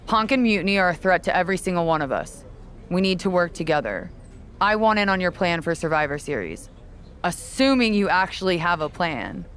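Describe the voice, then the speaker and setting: A woman's voice: fairly low pitch, animated, brisk, fairly bright tone. Haughty woman wrestler, faint noise. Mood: calculating. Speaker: wrestler character in a video game story. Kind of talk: scripted video game cutscene dialogue.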